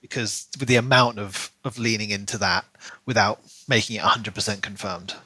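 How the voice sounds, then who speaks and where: A man's voice: on the low side, steady tone, a little fast, sharp tone, slightly rough, dry recording, low-quality recording. A man co-hosting a podcast, talking through his opinions in a casual, analytical book discussion. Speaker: podcast co-host.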